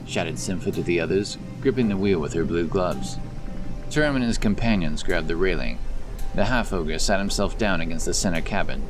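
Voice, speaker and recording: A man narrating a scripted fantasy story as the storyteller of an audio drama. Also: low-pitched.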